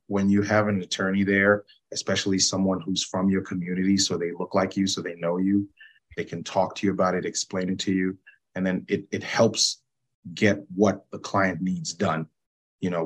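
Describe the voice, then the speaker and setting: A man from Zambia, a personal injury attorney, explaining his work in a measured, patient way as an interview guest, often checking in with "right?". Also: low-pitched, flat delivery. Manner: measured, explanatory, frequent "you know" and "right?".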